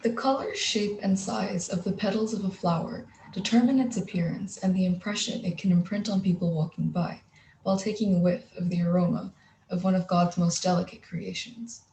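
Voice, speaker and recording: A poised teenage girl from Kuwait, with Gujarati as her first language, delivers an articulate prepared speech. Her voice is warm with some vocal fry. She speaks over a video call from an echoey room. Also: fairly low pitch, steady tone, normal speed, a little hiss or hum. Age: teens. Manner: articulate, poised, polished phrasing. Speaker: youth speaker.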